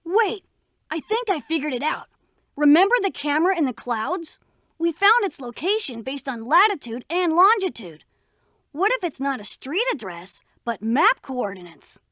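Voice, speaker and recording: A woman voicing a clever, eager young boy adventurer in a cartoon computer game, excited and earnest. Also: sits high, normal speed, dry recording, studio quality, exaggerated voice.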